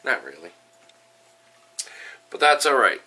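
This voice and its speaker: A man's voice: mid-range voice, lively intonation, slow pace, bright, forceful tone, distant mic. A middle-aged man with a stuffy nose from a head cold, chatting casually and upbeat through a home brewing vlog. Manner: chatty, upbeat, with frequent ums and uhs. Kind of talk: casual how-to and tasting vlog. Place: home kitchen, then small room at home.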